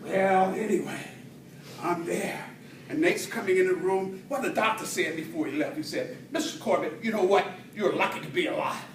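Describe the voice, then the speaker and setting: A man delivering a humorous tall-tale speech to an audience in a theatrical way, with dramatic pauses and swells. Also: high-pitched. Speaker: speech contest storyteller at a Toastmasters club. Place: indoor room with an audience. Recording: roomy, distant mic, faint noise.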